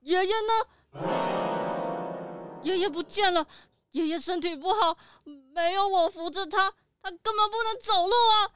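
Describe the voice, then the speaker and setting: An earnest young innkeeper in a children's radio play, acted by a woman. The voice is extremely high, fretful and pleading, with clear music underneath. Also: a little slow, pauses often, a bit bright. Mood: panicked. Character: earnest young innkeeper anxious about frail grandfather. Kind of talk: children's audio drama acting.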